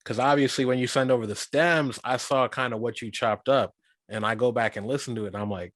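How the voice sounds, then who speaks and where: A man's voice: medium pitch, steady tone, fairly quick, fairly bright tone, dry recording. A man from Guyana, a rapper co-hosting a podcast, telling stories and asking questions in an easygoing, slangy way. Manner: easygoing, chatty, slangy storytelling. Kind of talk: casual podcast interview.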